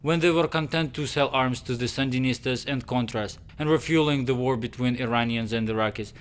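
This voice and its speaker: An actor playing a grave, formal Russian intelligence officer in a video game cutscene, with stiff, measured phrasing. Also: male voice, medium pitch, smooth, close to an everyday voice.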